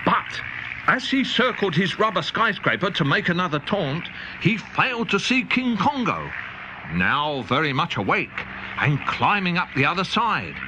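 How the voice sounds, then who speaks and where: A man narrating a children's storybook in a playful, animated way. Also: sits high, measured pace, background noise. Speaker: audiobook narrator. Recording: dull cassette tape with music.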